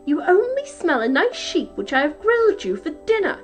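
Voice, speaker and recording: A woman voicing a brisk, bossy giantess wife in a storybook, scolding and emphatic. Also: high-pitched, sing-song, a little mellow, soft background music, voice pushed up.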